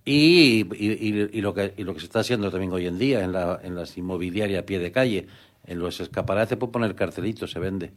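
A middle-aged man with a fairly low voice answers interview questions in an earnest, halting way. He often restarts his sentences and stretches out fillers as he thinks. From Canary Islands. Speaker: guest, rural real estate agent. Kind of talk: conversational interview.

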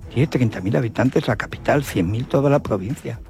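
A man's voice: mid-range voice, normal speed, gravelly, darkish tone, faint music behind. An older man with an accent from Spain giving an opinionated, colloquial radio commentary, indignant and full of rhetorical questions. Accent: Spanish from Spain.

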